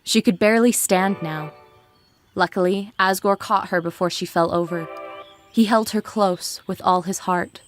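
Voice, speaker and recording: A woman's voice: medium pitch, a little slow, soft background music. A woman reading scripted, dramatic storytelling narration.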